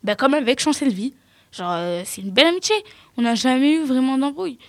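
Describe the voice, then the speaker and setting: A teenage girl talking casually and bluntly, her voice often dropping quietly at the ends of phrases. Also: sits high, lively intonation, a little fast, no room sound. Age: teens. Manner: blunt, outspoken, trailing off quietly. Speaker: teen guest. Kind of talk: casual discussion.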